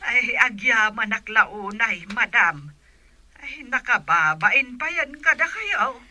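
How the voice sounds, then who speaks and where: A woman's voice: fairly high pitch, expressive, slow, sharp tone, heavily acted. A woman playing an apologetic, grateful older village woman with a deferential manner in a radio drama. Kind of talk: Ilocano radio drama acting.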